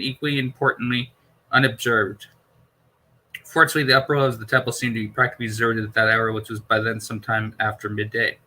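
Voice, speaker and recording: Guy reading a sci-fi novel out loud, bright voice, trips on words sometimes. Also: mid-range voice, low-quality recording. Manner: stumbles over words and repeats phrases. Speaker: amateur audiobook reader. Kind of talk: reading a science fiction novel aloud.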